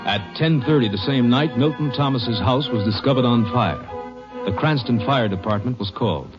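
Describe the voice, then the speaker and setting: A man's voice: medium pitch, normal speed, loud music behind the voice. A man reading scripted radio crime drama narration in a terse, solemn, factual way. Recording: muffled old radio recording.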